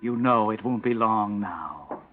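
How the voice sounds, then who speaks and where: A man's voice: on the low side, expressive, unhurried, slight background noise. An actor playing a taunting, all-knowing narrator of a 1940s radio crime story, addressing the listener as the guilty man in a mocking, ominous way. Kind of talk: 1940s radio drama narration, second person. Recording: thin, phone-like old radio recording. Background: music.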